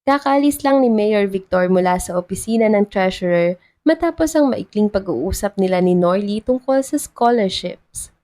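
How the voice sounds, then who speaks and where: A woman's voice: mid-range voice, lively intonation, normal speed, mellow. Woman reading out a romance story, storyteller narration, mid-range voice.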